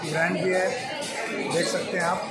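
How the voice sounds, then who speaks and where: A man's voice: sits high, level tone, moderate pace. A young man chatting casually to viewers as he walks through a busy shopping mall, filmed on a phone held at arm's length. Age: young adult. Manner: chatty, repeats himself, addresses viewers. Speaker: vlogger. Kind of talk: casual vlog narration. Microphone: phone camera at arm's length. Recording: phone vlog with loud music and crowd. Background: loud music and crowd.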